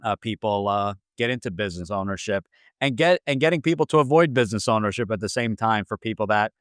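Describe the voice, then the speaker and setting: Smooth low-voiced American man. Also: lively intonation, fast talker, no echo, clean studio sound. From Central New Jersey. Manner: hesitant, many fillers and restarts. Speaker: franchise consultant, guest. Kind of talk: casual interview answers.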